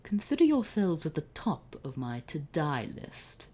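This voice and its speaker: A woman voicing a vengeful villain who talks in a slow, threatening way. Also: low-pitched, big pitch swings, mellow, slightly rough, heavily acted. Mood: menacing. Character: menacing older man, a vengeful exiled brother.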